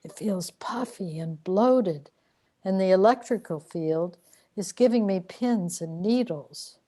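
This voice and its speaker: A woman playing a weary, complaining wanderer in a radio play, plaintive and deadpan. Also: medium pitch, takes it slowly. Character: weary, complaining wanderer trapped in a too-tight body.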